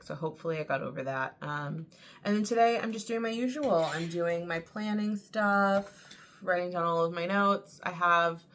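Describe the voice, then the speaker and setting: Young female vlogger, low register, a bit raspy, loose chatty storytelling. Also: fairly bright tone. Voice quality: a little raspy. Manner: chatty storytelling, many "like" fillers. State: tired from early waking. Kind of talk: casual chat to camera.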